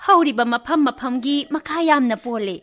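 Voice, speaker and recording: A woman plays a cheerful, eager female flowering plant in a radio drama. The voice is high and very fast, with a giggly, animated manner. Faint music sits behind it. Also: close to an everyday voice. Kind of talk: radio play acting.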